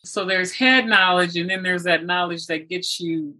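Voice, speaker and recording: A woman's voice: fairly low pitch, moderate pace, pushed and bright. A woman hosting a conversational motivational discussion, speaking in an emphatic, affirming and instructive way. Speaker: interview host.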